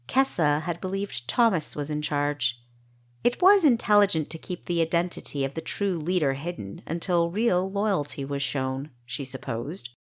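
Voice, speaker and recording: A woman's voice: on the low side, no room sound, studio quality. A woman reading scripted fantasy fiction as an audiobook narrator.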